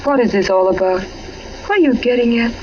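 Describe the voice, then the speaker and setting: A dull, clearly noisy vintage recording carries a woman acting a poised suburban wife in a 1940s radio mystery. She sounds smoothly evasive in her period drama delivery. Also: on the high side, normal speed, warm tone, natural voice. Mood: innocent, defensive.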